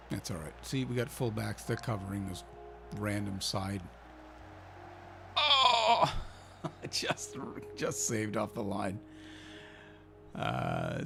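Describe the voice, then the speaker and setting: Icelandic guy talking through his football manager game, low gravelly voice, music under it. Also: a bit bright. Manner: chatty, thinking aloud, cheering his team on. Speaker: gaming channel host.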